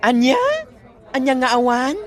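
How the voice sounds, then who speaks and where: A man's voice: very high-pitched, level tone, a little slow, some chatter behind. A man playing a put-upon, complaining parlor worker with an Ilocano accent in a radio comedy drama.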